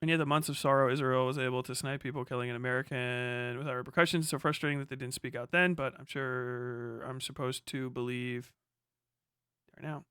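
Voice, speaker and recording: A man's voice: mid-range voice, fairly even intonation, brisk, fairly bright tone, clear, resonant tone, no echo, clean studio sound. A man hosting a casual livestream, giving blunt, profane, slangy political commentary and talking back to chat. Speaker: livestream host.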